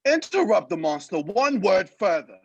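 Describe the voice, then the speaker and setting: A man's voice: high-pitched, big pitch swings, moderate pace, gravelly, slightly rough, highs cut off, heavily acted. An actor playing a pompous, bossy drunkard who lords it over his companions in a Shakespearean radio play. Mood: threatening. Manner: pompous, commanding, archaic speech. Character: blustering, bossy drunken man playing lord.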